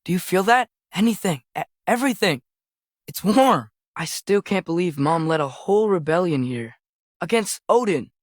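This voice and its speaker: An earnest, curious teenage boy from a fantasy video game. His voice sits fairly high for a male and sounds youthful and conversational. The recording is close and dry. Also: lively intonation, normal speed, no echo. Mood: awed, curious. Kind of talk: naturalistic video game voice acting.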